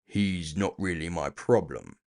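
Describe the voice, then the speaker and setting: A man's voice: deep voice, animated, a little slow, darkish tone. A man voicing a big, cool-headed orc bounty hunter, blunt and dryly cocky, in a fantasy audio drama. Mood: sceptical. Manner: blunt, dry, confident.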